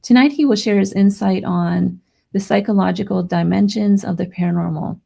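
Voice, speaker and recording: A woman hosting a live paranormal radio show, hoarse and losing her voice, chatting excitedly with her listeners. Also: mid-range voice, moderate pace. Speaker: radio podcast host. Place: home studio near Las Vegas.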